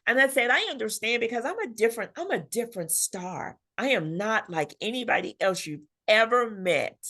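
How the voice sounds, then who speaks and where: A woman's voice: a little high, lively intonation, normal speed, a bit bright. A middle-aged woman talking candidly as an interview guest, emphatic and self-assured, often quoting herself as she tells her story. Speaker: guest author.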